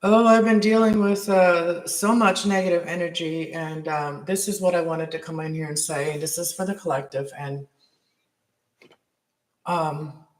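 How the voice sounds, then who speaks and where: A man with a raspy voice hosting a tarot livestream, rambling with many restarts and fillers. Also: on the high side, fairly even intonation, measured pace, echoey room. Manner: meandering, many restarts and fillers, spiritual talk. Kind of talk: rambling live-stream monologue. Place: apartment at home.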